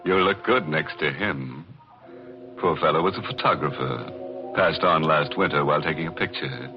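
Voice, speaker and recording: Deep-voiced, ghoulish radio horror host. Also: male voice. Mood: ghoulish mirth. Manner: sardonic, drawn-out, theatrical delivery. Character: ghoulish, gleeful, mock-sinister male host of a horror show. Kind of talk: 1940s radio horror hosting with ghoulish puns. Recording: muffled vintage 1940s radio, organ music.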